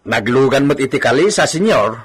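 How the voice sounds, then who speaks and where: Fawning, husky male servant. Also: medium pitch, lively intonation, a little fast. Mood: hesitant. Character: deferential, fawning male servant of a rich landowner. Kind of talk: radio drama acting.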